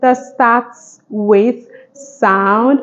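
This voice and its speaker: A woman with a high voice teaches phonics to small children at a very slow pace. She is encouraging and sing-song, giving simple instructions and repeating them. The recording sounds a little dull. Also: steady tone, a little hiss or hum. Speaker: phonics teacher and channel host. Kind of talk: children's phonics lesson, addressed to young viewers.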